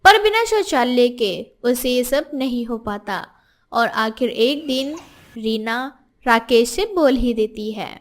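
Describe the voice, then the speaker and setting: A woman's voice: a little high, animated, slow pace. A woman reading scripted story narration as the narrator.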